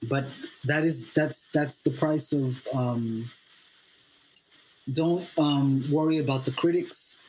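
A man's voice: mid-range voice, a little mellow, a little hiss or hum, low-quality recording. Earnest man, native Swahili speaker. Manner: earnest, halting with fillers, building to emphatic repetition. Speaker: playwright guest. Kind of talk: podcast interview.

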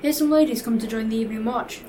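A woman's voice: a little high, fast, dark tone, far from the mic, slight background noise, natural voice. A woman playing a wry, chatty striker on a 1919 picket line in an audio drama. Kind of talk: scripted audio drama dialogue.

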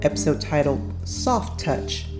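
A woman with a notably deep voice reads a scripted podcast introduction as host. Music plays clearly behind her. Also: expressive, measured pace.

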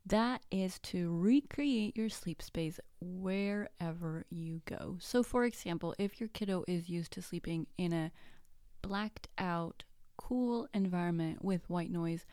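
Chatty podcast mom, spanish is her first language, lowish voice, dry close mic. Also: slow pace, dark tone, clear, resonant tone, no room sound. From Mexico. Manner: chatty, self-deprecating, jokey asides. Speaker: podcast host. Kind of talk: casual solo podcast.

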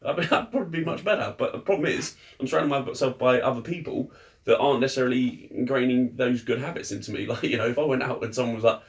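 A man talks at a quick clip in relaxed podcast chat. He is emphatic and blunt, pressing his point with plain everyday examples, his voice at a middling pitch. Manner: fast, emphatic, advice-giving. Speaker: podcast co-host. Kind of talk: casual chat.

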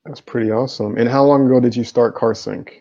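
A man's voice: mid-range voice, fairly even intonation, quick pace, gravelly, warm tone, highs cut off. A man hosting a live interview stream over a video call, casual and upbeat, with the odd um and man.

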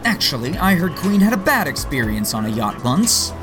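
A man's voice: a little high, sing-song, normal speed, music in the background. A man playing a wisecracking, confident archer vigilante in a superhero audio drama, wry and quippy. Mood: sarcastic.

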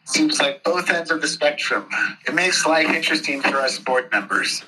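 A man giving unscripted, measured and diplomatic interview answers as a board official. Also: fairly high pitch, animated, sharp tone, soft background music, low-quality recording. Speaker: school board president being interviewed.

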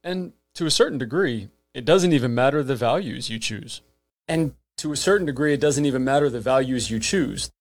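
This is a millennial man hosting his own podcast, speaking in an earnest, scripted way. His voice sits at an ordinary middle pitch. The sound is close and dry, with no room around it. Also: lively intonation, brisk, dry recording, faint music behind. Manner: earnest, rhetorical, emphatic lists. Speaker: podcast host.

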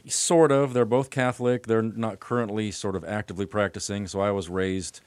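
A middle-aged American man, a guest on a podcast, talking about his life in a relaxed, rambling way. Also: fairly low pitch, normal speed, clean tone, no echo. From United States. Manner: relaxed, rambling storytelling with fillers. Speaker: attorney and guest. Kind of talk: casual interview chat.

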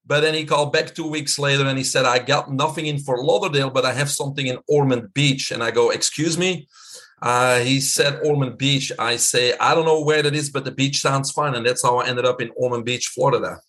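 A man in his fifties from Belgium with a Belgian accent, a guest telling his story in a relaxed, chatty way with many fillers. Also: mid-range voice, fairly quick. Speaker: guest, physician. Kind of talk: casual storytelling interview.

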